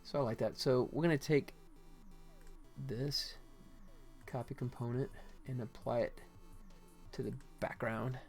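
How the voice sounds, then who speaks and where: A man's voice: mid-range voice, steady tone, unhurried, long pauses, darkish tone. Casual American man thinking aloud. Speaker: livestream host, game developer. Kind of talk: casual livestream chat while working. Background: music.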